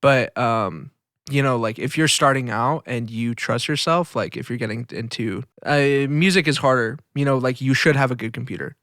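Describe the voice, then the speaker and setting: A man's voice: medium pitch, moderate pace, a bit bright, no room sound, studio quality. A man co-hosting a podcast in casual chat, with a teasing, playfully contrary manner. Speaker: podcast co-host.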